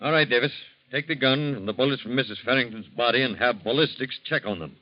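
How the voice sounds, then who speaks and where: An actor playing a blunt, hard-nosed police captain who talks in curt commands in a 1940s radio drama. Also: male voice, medium pitch, fast talker, close to an everyday voice. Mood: stern. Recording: muffled old radio recording.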